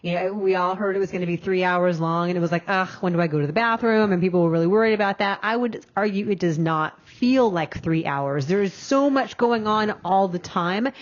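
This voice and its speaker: A woman's voice: lowish voice, fairly quick, few pauses. A woman co-hosting a film review panel, chatting casually as a critic with her colleagues. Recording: slightly dull, band-limited audio.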